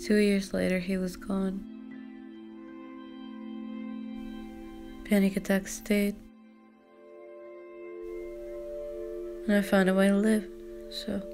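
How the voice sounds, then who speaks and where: A young woman playing a weary, drugged teenage girl in a drama, confessional, with a languid, halting, half-whispered delivery. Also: medium pitch, monotone, lightly acted. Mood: resigned. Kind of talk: naturalistic screen drama monologue, fan voiceover. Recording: music behind the voice.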